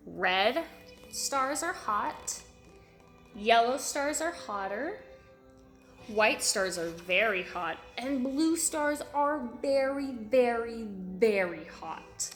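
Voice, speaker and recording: A woman's voice: a little high, expressive, very slow, a bit bright, echoey room, soft background music. A woman preschool teacher reading aloud to young children, encouraging them with simple repeated phrases and questions.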